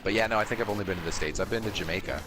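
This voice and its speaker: A man's voice: fairly low pitch, racing. A young man co-hosting a podcast, chatting casually while playing a video game, rambling with lots of restarts. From Canada. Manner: rambling, with many restarts and fillers. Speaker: podcast co-host. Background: game music.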